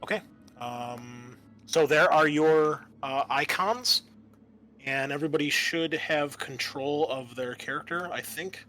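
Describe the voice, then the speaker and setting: A man acting as game master, explaining a tabletop roleplaying game in a casual, halting way. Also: fairly high pitch, pushed and bright, soft background music.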